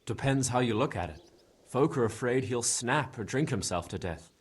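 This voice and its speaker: A husky medieval villager in a game, plain talking, folksy, happy to explain. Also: male voice, mid-range voice, lively intonation, moderate pace. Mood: concerned. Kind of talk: video game voice acting.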